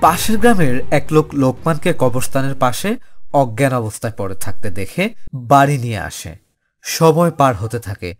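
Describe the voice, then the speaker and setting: A man reads a scripted ghost story as narrator. His voice sits at a medium pitch, and music plays faintly behind it. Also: animated, moderate pace.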